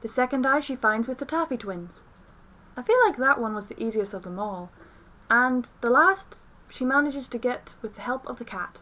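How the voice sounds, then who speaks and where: Higher-pitched young woman narrating a review. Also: lively intonation, normal speed, sharp tone, rough recording. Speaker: film reviewer.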